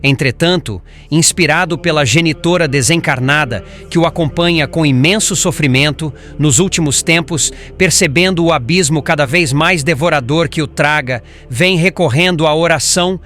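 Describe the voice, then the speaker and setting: Spirit mentor, acted by a man: solemn and patient, fairly high male voice, formal audiobook delivery over faint music. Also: moderate pace, hardly any pauses, a bit bright, natural voice. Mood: solemn, grave. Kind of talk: scripted audiobook narration.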